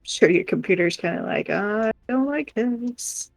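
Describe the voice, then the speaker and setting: A woman's voice: a little high, expressive, unhurried, vocal fry, no echo, soft background music. A woman chatting casually and rambling in a giggly way on a livestream while doing crafts. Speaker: live stream co-host.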